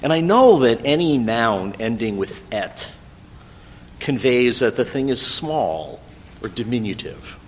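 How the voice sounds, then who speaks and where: A middle-aged man whose first language is French, telling a thoughtful, gently wry personal story in English. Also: medium pitch, big pitch swings, a little slow, a little hiss or hum.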